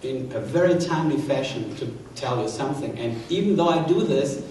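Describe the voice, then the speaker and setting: A man with a German accent speaks haltingly as a moderator, giving an informal introduction to an audience. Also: medium pitch, lively intonation, darkish tone. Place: large hall, town hall event. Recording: echoey hall, distant mic, faint noise.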